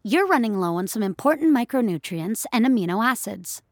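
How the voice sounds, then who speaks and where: Health app assistant, female narrator: courteous, helpful, quietly pushy machine persona for audio fiction, dry close sound. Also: fairly high pitch, animated, brisk, no echo. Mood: politely insistent.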